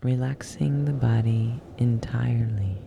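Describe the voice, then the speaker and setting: A soft, hushed voice guiding a yoga nidra relaxation in ASMR style, soothing and unhurried, slipping at times into a whisper. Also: medium pitch, fairly even intonation, vocal fry, noisy background. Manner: soothing, unhurried, with long pauses. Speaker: guided relaxation instructor.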